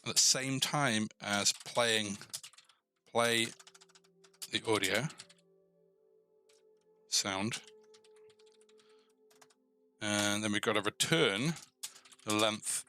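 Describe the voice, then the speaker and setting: A man with a fairly low, bright and forward voice talks through code on a live tutorial stream. He is informal and tentative, thinking out loud and pausing mid-thought as he works. Music plays clearly behind him. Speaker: host of a coding tutorial stream. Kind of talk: casual live coding walkthrough, thinking aloud.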